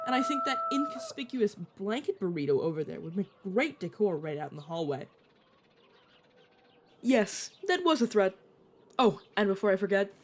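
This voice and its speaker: A woman's voice: medium pitch, a little hiss or hum, lightly acted. A woman voice actor playing a playful, sarcastic young vampire mentor who chats and teases in an audio roleplay. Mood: bossy, playful. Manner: teasing, sarcastic, chatty. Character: playful, teasing vampire mentor, turned at nineteen. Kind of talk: narrative audio roleplay, one-sided conversation.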